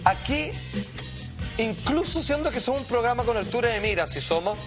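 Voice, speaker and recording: A very high-voiced Chilean man hosts a TV talk show with excitable commentary. He is emphatic and slangy, building suspense theatrically, with clear music playing behind him. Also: normal speed. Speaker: TV show presenter.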